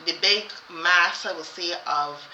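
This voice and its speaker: A woman's voice: on the low side, a little slow, bright, forceful tone, a little hiss or hum. A woman chatting casually as co-host, rambling and repeating herself with many fillers and restarts. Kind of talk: casual chat. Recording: roomy, slightly dull sound.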